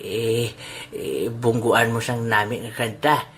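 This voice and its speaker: A woman's voice: a bass voice, steady tone, takes it slowly, fairly bright tone, slight background noise, full character voice. A woman voicing a grumbling, teasing old grandfather in an amateur audio drama. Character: grumpy old man, Lolo Duming, coffee-loving.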